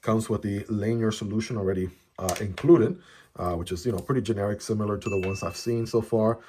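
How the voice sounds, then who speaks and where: A man's voice: lowish voice, fairly even intonation, fairly quick, warm tone, a little hiss or hum. A man whose first language is Chinese, chatting casually through a product unboxing, often tagging his sentences with "right?". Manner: chatty, frequent "right?" tag questions. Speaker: product reviewer. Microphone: phone camera.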